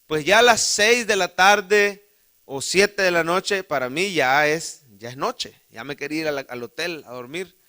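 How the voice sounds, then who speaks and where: A man's voice: fairly high pitch, unhurried, bright, forceful tone. A man with a Salvadoran accent preaching in church, telling a folksy, humorous personal story and calling his listeners brother. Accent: Salvadoran Spanish. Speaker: pastor. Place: church sanctuary.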